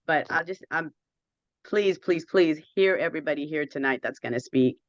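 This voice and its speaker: A woman in her fifties with a hoarse voice, a guest telling her story earnestly and with emphasis. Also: low-pitched, moderate pace, no echo, muffled recording. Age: 50s. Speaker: guest sharing her experience.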